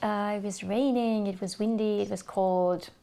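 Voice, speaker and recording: Hungarian woman with a gravelly voice. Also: medium pitch, steady tone, normal speed, dark tone. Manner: thoughtful, hesitant with many fillers and restarts. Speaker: podcast guest. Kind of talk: casual interview chat.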